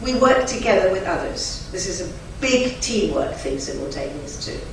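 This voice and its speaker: A woman whose first language is German, a conference interpreter giving an emphatic, chatty lecture to a live audience with lively asides. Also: medium pitch, normal speed. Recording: very echoey hall, from far off, faint noise.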